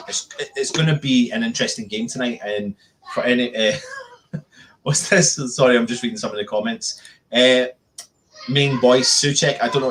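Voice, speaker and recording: Male football stream host, mid-pitched voice, conversational delivery with repeated words and phrases. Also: fairly bright tone, rough recording. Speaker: football fan channel host. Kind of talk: live-stream football chat.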